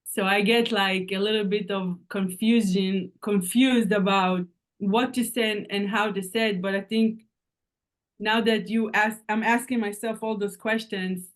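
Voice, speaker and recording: A woman with a Hebrew accent, a native Hebrew speaker, asking a question on a video call and searching haltingly for her words. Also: mid-range voice, fairly even intonation, poor audio. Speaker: participant on a coaching call.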